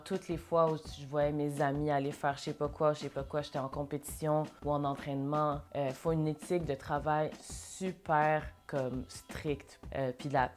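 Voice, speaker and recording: A Québécois woman with a notably low voice talks casually in an interview and slips English phrases into her French. Faint music plays quietly behind her. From Montréal, Québec. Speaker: interview guest, singer and dancer.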